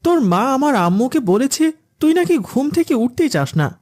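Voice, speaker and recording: A man voicing a diligent, pious schoolboy friend in a cartoon, talking in an earnest, lively way. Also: very high voice, normal speed, full character voice. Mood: friendly, curious. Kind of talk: cartoon dialogue.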